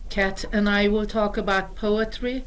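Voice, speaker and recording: A woman's voice: mid-range voice, steady tone, smooth, low-quality recording. A middle-aged woman from Hungary with a Hungarian accent, hosting a community radio show and reading a prepared introduction. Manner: slow, careful, deliberate delivery. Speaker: host.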